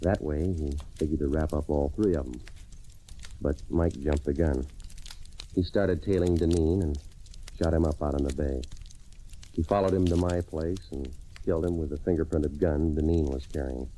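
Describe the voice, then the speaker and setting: Very deep, dark-voiced hardboiled detective. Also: male voice, normal speed. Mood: deadpan, cynical. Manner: clipped, deadpan, slangy. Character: hardboiled male private investigator, cynical and terse. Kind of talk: 1940s radio noir narration. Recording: noisy old radio recording.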